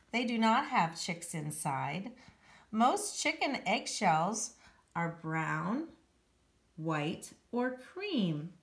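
A woman, an elementary school teacher, reading a children's nonfiction book aloud with careful phrasing for young listeners. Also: mid-range voice. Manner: careful, clearly phrased read-aloud delivery with emphasis for children. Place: indoors at home, hard-surfaced kitchen. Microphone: handheld phone. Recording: echoey room, handheld home recording.

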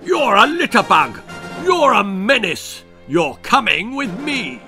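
A blustering colonel acted out by a male storyteller from a children's storybook. He is bossy and dismissive, barking loudly with his voice pushed well up. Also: high-pitched, sing-song, takes it slowly, faint music behind, pitched above the performer's own voice, heavily acted. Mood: barking orders. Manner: barking, commanding.

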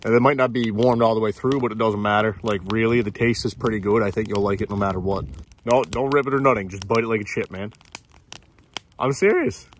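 A man's voice: on the low side, fairly quick, a little hiss or hum. A young man with a Newfoundland accent, chatting enthusiastically to viewers during an outdoor fishing vlog, filmed on a handheld camera outside. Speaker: outdoor vlogger. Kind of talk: casual outdoor vlog chat. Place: outdoors by a river in snow. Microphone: phone or action camera held close.

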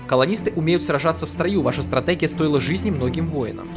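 A man's voice: on the high side, fast talker. A man hosting a let's play, reading the game text aloud and reacting in loose slang. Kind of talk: casual gameplay commentary. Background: game music.

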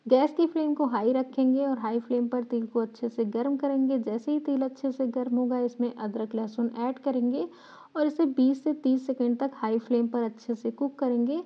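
A woman's voice: high-pitched, steady tone, normal speed, breathy edge, lo-fi sound. A woman walking through a recipe step by step in a voice-over, patiently explaining each step and repeating key points. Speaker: cooking channel host.

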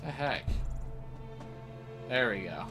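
A man's voice: mid-range voice, lively intonation, slow pace. A man giving casual, offhand live commentary on a video game, half talking to himself as he plays. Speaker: gaming video host. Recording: game music behind the voice. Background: video game music.

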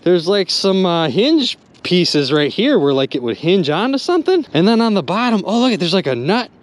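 A young man vlogging from a small boat on a river, exclaiming excitedly as he talks to his viewers. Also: sits high, normal speed. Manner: animated, exclaiming, chatty with viewers. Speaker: magnet fishing vlogger. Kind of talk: excited casual narration to viewers.

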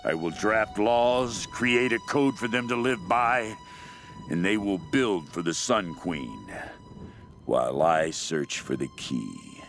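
Slow, gravelly, fanatical cult leader. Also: male voice, on the low side, animated, fairly bright tone, soft background music, heavily acted. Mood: fervent, triumphant. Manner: slow, brooding, menacing, intense. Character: fanatical male cult leader, madman obsessed with a queen. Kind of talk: dramatic diary monologue in a video game.

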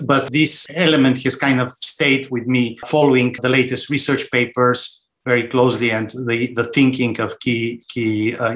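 Middle-aged guy from bulgaria on a podcast, thinks out loud, restarts a lot, mid-pitched. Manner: thoughtful, with frequent restarts and fillers. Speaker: guest, finance executive. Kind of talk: conversational interview answers.

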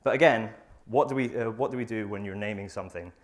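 A young man gives a research talk to an audience in a lecture theatre, speaking into a lapel mic. His voice sits a little low, and he reads from his notes, halting often on fillers and restarting phrases. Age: young adult. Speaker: student presenter. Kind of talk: academic conference talk.

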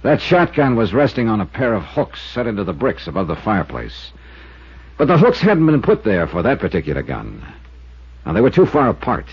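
An actor playing a hard-boiled, wry insurance investigator, with an American accent and clipped, dramatic 1950s radio delivery. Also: male voice, on the low side, huge pitch swings, brisk, breathy edge, heavily acted. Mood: probing. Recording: muffled 1950s radio recording with music.

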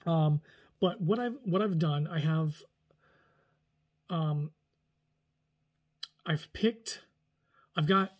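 A man's voice: on the high side, very slow, long pauses. Forties guy vlogging from home, chatty and rambly, keeps restarting sentences, phone mic up close. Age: 40s. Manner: rambling, animated, lots of restarts and hand gestures. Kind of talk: casual talking-head vlog. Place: small room indoors at home.

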